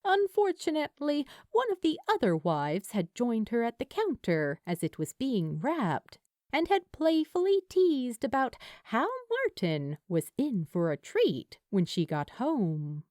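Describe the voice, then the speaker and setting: A woman reading a story aloud as a narrator, in a measured, expressive storytelling manner. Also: on the high side, no echo, studio quality. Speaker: fan fiction narrator.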